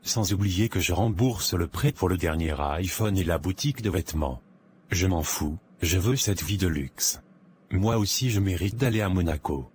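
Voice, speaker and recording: A man acts out a vain young office worker in a cartoon moral tale, always broke and hungry for luxury. His voice is low, with clear noise behind it. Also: normal speed, darkish tone, lightly acted. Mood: defiant. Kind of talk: animated moral tale dialogue.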